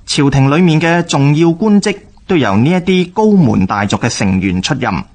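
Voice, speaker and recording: A man with a Hong Kong Cantonese accent reads scripted historical radio narration in a formal, measured way. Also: a little high, animated, a little mellow.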